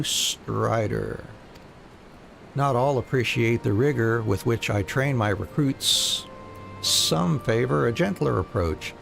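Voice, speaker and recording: A man voicing a stern, proud rebel drill instructor in a fantasy game, deliberate and formal. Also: mid-range voice, vocal fry, fairly bright tone, slight background noise, heavily acted. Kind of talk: reading fantasy game dialogue aloud.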